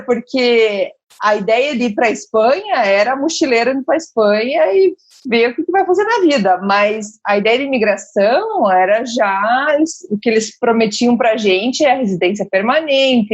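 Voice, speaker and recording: A woman's voice: a little high, highs cut off. A middle-aged woman from Curitiba with a Curitiba accent, chatting as a podcast guest and telling her story with laughs. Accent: Curitiba Brazilian Portuguese. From Curitiba, Brazil. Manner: lively storyteller, laughs often. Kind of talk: casual chat.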